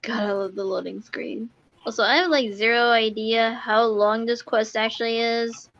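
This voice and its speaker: A woman's voice: fairly high pitch, steady tone, normal speed, fairly bright tone. A woman chatting casually as she streams a video game. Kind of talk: casual live stream commentary. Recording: slightly muffled, noisy stream microphone. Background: background noise.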